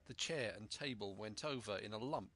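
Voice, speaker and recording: A man reading a story aloud as an expressive narrator. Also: fairly low pitch, moderate pace, a little hiss or hum. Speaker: storyteller, audiobook reader.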